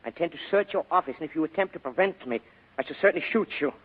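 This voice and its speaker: Fussy, sinister crook, husky, band-limited. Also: male voice, a little high, animated. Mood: menacing politeness. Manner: formal, precise, over-polite phrasing, hesitant pauses. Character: polite, fussy, sinister foreign crook with a gun. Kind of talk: 1940s radio drama acting. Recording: muffled vintage radio drama recording, phone-like band.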